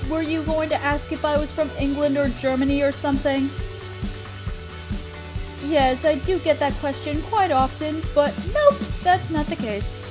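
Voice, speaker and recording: A woman's voice: high-pitched, a little slow, music in the background. A woman playing a kindly, playful witch in a scripted roleplay audio drama, speaking in a theatrical, coy way with old-fashioned endearments. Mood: teasing, amused.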